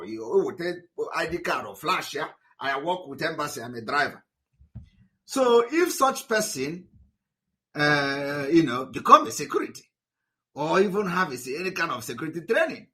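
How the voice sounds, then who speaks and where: A man's voice: fairly high pitch, very animated, normal speed, pushed and bright. A man, addressing his followers in a livestream and switching between English and Igbo. He talks in a combative way and often stumbles over repeated words and fillers.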